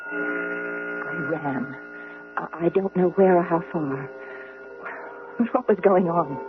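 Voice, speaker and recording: A bewildered, alarmed tourist in a radio play. Her delivery is dramatic and incredulous, with lively swings of pitch. The recording is thin, narrow-band and clearly noisy. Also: takes it slowly. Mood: dazed, disbelieving. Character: bewildered modern American woman tourist, alarmed and insistent. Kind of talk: old-style radio drama acting with narration.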